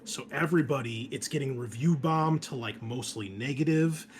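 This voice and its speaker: A man's voice: mid-range voice, moderate pace, a little hiss or hum. A man hosting and running a tabletop game in a casual, enthusiastic, sweary, conversational way. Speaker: game master and host.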